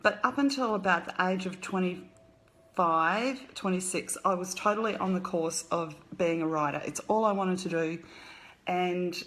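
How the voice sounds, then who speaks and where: A woman in her fifties speaks with an Australian accent and a slightly low voice. She chats on a live stream from her home at night, rambling between her story and hellos to viewers, with frequent small laughs. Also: unhurried, fairly bright tone, poor audio. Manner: chatty, rambling, greets viewers and laughs often. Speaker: author hosting a live stream. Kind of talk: casual live stream chat.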